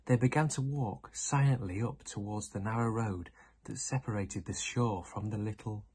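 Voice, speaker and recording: A man's voice: lowish voice, moderate pace, darkish tone, breathy edge. A young man reading a children's story aloud. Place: indoor room.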